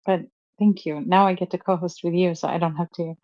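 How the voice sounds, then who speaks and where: A woman's voice: fairly low pitch, fairly even intonation, a little fast, dark tone, dry recording, lo-fi sound. A woman from Sweden, a native Swedish speaker, co-hosting a casual chat. She gushes and chats freely, with hesitant fillers. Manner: gushing, chatty, with hesitant fillers. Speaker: co-host.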